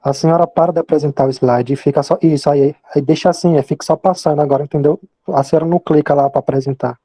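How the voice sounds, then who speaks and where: A man's voice: fairly high pitch, fairly even intonation, quick pace, warm tone, no echo. A young man with a Brazilian accent, a student casually explaining things during an online class.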